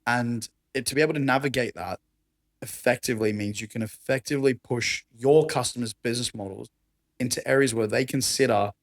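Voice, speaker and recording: A man with an Australian accent and a raspy voice, talking enthusiastically as a podcast guest. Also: mid-range voice, fairly quick, dry recording, studio quality. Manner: enthusiastic, conversational, laughs often. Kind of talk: casual chat.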